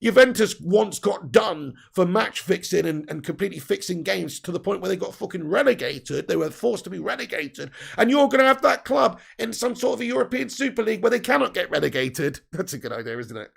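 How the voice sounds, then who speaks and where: A young man hosts a football fan live stream, reading out chat comments and ranting with plenty of swearing. His voice sits a little high for a man, yet it is croaky and deeply gravelly from tiredness. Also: lively intonation, brisk, hardly any pauses. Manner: heated, sweary ranting with laughs. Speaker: football fan channel host.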